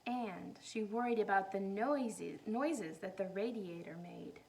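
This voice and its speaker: A woman's voice: mid-range voice, unhurried, creaky, darkish tone. A woman reading a picture book aloud expressively to young children, adding simple explanations as a teacher would.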